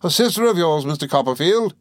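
A man's voice: a little high, lively intonation, no room sound, clean studio sound, voice pushed up, lightly acted. A man doing a tiny, giggling, volatile woman. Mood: teasing. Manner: rapid, giggling, volatile chatter. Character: tiny, chattering, sly middle-aged woman.

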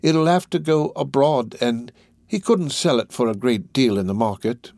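Storybook villain, played by a male narrator: older man, sly fence, slow coaxing delivery. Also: medium pitch, animated, a little mellow, dry recording, voice pushed up, natural voice. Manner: slow, drawn-out, ingratiating.

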